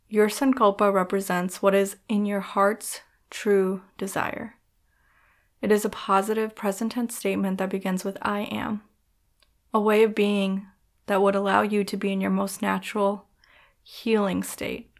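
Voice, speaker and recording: Female yoga nidra guide, mid-pitched voice, soothing and measured, with gentle pauses. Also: level tone. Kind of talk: guided meditation narration.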